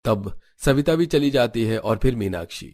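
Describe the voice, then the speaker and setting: A man's voice: medium pitch, animated, moderate pace, a little mellow. A man reading scripted narration for a family storybook cartoon.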